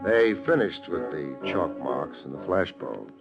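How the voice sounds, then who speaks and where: An actor playing a wry, hard-boiled private detective with an American accent, in a dry, laconic 1950s radio noir style. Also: male voice, extremely low pitch, sing-song, measured pace, creaky, muffled recording, exaggerated voice. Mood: weary, sardonic. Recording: vintage radio recording, music underneath.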